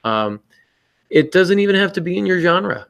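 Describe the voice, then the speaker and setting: A man's voice: sits high, sing-song, normal speed, creaky, a bit bright, clear, resonant tone, no echo. A man co-hosting a podcast, giving casual writing advice in a joking, self-mocking manner. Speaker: podcast co-host and novelist.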